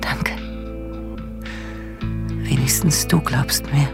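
Low, creaky, brooding wife. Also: female voice, steady tone, extremely slow, full character voice. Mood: tender, secretive. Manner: musing, murmuring to herself. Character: lonely, brooding abandoned wife and mother. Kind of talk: intimate radio drama monologue. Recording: music behind the voice.

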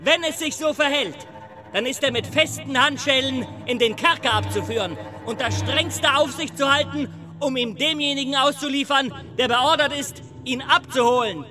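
An actor playing a stern official declaiming an arrest order in a German radio play. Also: male voice, very high-pitched, normal speed, over music. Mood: stern, commanding.

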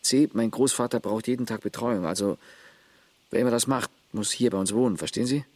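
A man's voice: medium pitch, brisk, darkish tone, dry recording, close to an everyday voice. An actor playing a terse village man who looks after his grandfather, matter-of-fact in a radio play.

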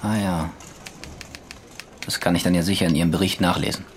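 Cool, low-voiced police interrogator played by an actor. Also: male voice, steady tone, brisk, slight background noise, close to an everyday voice. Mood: cool, insistent. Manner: formal, pointed, controlled. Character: cool, probing male police interrogator, formal and relentless. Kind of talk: German radio drama acting.

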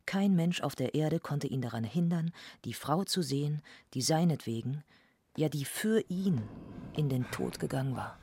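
A man's voice: on the high side, animated, normal speed, a little mellow, breathy edge. A man narrating a literary radio play in a scripted storytelling style.

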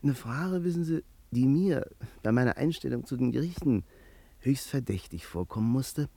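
An actor playing a nervous, talkative barber in a 1950s radio crime play, with a chatty, colloquial manner. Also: male voice, mid-range voice, expressive, moderate pace, gravelly, warm tone, slightly rough, no echo. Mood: rueful, excited. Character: nervous, talkative Berlin barber, afraid of the courts. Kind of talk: 1950s German radio play acting, first-person narration.

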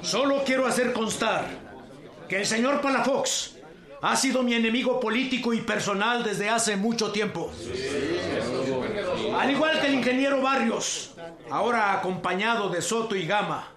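A man's voice: extremely high pitch, normal speed. A man with a Mexican accent playing a dignified accused revolutionary general in a radio drama. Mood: dignified protest. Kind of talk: Spanish-language radio drama acting. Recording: roomy sound with loud crowd behind.

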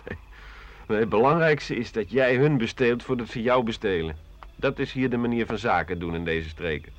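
A man's voice: medium pitch, normal speed, lightly acted. Radio drama, male actor as cynical thieving outpost trader, crude and scornful; lo-fi 1980 sound. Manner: coarse, slangy, laughing scornfully. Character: crude, cynical, bitter colonial trader-thief in Africa. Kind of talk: radio play acting. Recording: dull 1980 radio recording.

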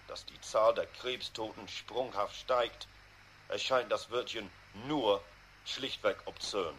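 An actor as a grave male radio commentator with a mid-pitched voice. His delivery is measured and bitter, in eighties radio play style, with faint noise behind. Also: fairly bright tone, lightly acted, poor audio. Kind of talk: 1980s radio drama, measured broadcast commentary.

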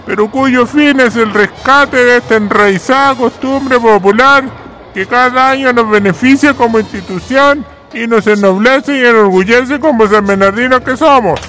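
Man playing a high-pitched, pompous town ceremony announcer. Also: pushed and bright, exaggerated voice. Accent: Chilean. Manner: pompous, wordy, declamatory. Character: pompous, formal 1950s small-town master of ceremonies. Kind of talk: ceremonial speech in a radio drama.

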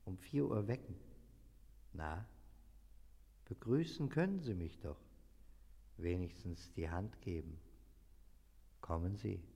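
A man's voice: deep voice, lively intonation, slow pace, creaky, pauses often, dark tone, husky, heavily acted. An actor in a radio play as a sinister dead business partner, menacingly familiar as he haunts a guilty man. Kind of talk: German radio play acting. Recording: long cavernous reverb.

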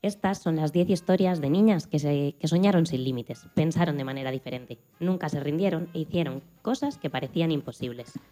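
A woman presenter reads scripted narration for a radio programme. Her voice sits low and has a soft, dark tone, with light music playing behind her. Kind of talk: scripted narration, reading aloud.

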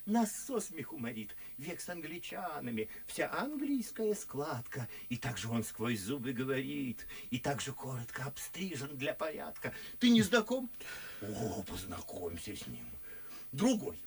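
An actor playing a boastful, good-natured, foolish gentleman in a radio play, gushing and confiding in theatrical verse with a husky voice. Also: fairly high pitch, big pitch swings, unhurried. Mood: excited, admiring. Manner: gushing, exclamatory, conspiratorial, drawing words out.

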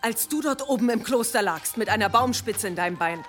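A woman's voice: a little high, lively intonation, a little fast, soft background music, natural voice. Woman as firm, caring village mother, radio drama. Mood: stern, admonishing. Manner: firm, admonishing, earthy.

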